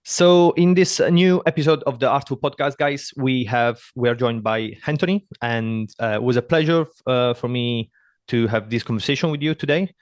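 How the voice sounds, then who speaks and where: A man from southern Italy with an Italian accent, hosting a casual interview in halting, repetitive English phrases. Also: mid-range voice, dry recording, studio quality. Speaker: podcast host.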